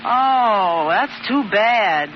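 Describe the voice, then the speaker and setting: A woman's voice: medium pitch, lively intonation, very slow, dull audio, slight background noise. A woman playing a scheming young housewife in a 1940s radio sitcom, demanding and theatrical, on an old radio recording. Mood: rueful, scheming. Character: scheming young housewife posing as a bossy ghost.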